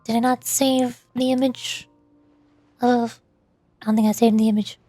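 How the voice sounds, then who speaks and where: A woman with a high voice chats casually on a livestream. She rambles playfully to her viewers. The sound is close and dry, with music playing behind her. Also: flat delivery, measured pace, dry recording. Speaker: VTuber streamer. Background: soft music.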